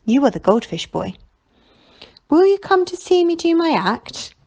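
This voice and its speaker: A woman voicing a mysterious, gentle older foreign showman from a storybook, with a soft, watery voice. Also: extremely high pitch, very animated, measured pace, voice pushed up, heavily acted. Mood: calm, inviting.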